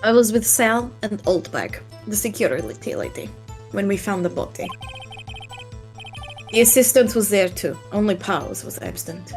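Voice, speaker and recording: A woman voicing a cool, dismissive female courtroom witness in a game fan dub, with measured, condescending phrasing. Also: medium pitch, close to an everyday voice. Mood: measured testimony. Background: music.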